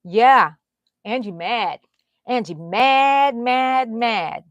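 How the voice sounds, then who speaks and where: A woman's voice: on the high side, very slow, dry recording, muffled recording. Chatty woman hosting a live stream. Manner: chatty and animated, swinging from loud to soft whispers. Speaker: crochet channel host. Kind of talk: casual live-stream chat.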